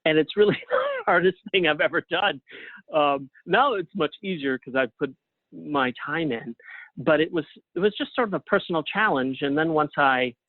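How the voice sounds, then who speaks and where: A man's voice: fairly high pitch, big pitch swings, moderate pace, no echo. Middle-aged man on a phone-like line. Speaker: guest playwright. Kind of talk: casual interview conversation.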